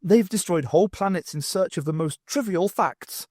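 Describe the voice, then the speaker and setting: A man's voice: high voice, normal speed, a little mellow, no room sound, clean studio sound, voice pushed up. A man voicing an anxious, aghast male professor who explains a dreaded threat in an audiobook. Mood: grim.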